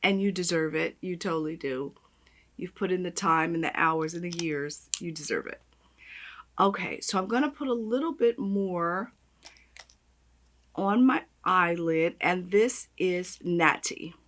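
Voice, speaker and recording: A woman with a raspy voice, chatting and gushing as she does her makeup on camera. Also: lowish voice, unhurried, a bit bright. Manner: gushing, chatty, talks while applying makeup. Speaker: beauty vlogger. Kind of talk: makeup tutorial chat.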